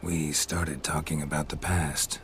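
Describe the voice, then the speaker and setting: An actor playing a gruff, stoic monster hunter in a video game, speaking in terse, understated phrases. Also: male voice, rumbling low, unhurried. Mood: subdued, reflective. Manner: flat, clipped, understated. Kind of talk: video game cutscene acting. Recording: clear background noise and ambience.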